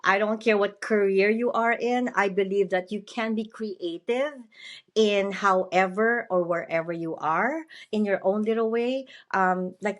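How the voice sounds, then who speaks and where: Woman, podcast host, chatty and upbeat, mid-range voice. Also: mid-range voice. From Philippines. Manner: chatty and upbeat, often asks "you know what I mean". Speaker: podcast host and motivational speaker. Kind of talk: casual interview chat.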